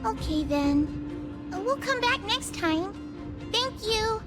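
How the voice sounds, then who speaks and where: A woman's voice: very high voice, expressive, slow, full character voice. A woman voicing an eager, polite, sneaky small child in a video game. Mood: eager, hushed. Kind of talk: game voice acting. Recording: music behind the voice.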